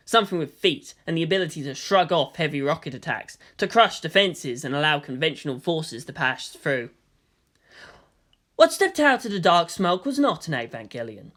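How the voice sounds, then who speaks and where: A woman reading scripted story narration as the narrator. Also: on the low side. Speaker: narrator of fan fiction reading.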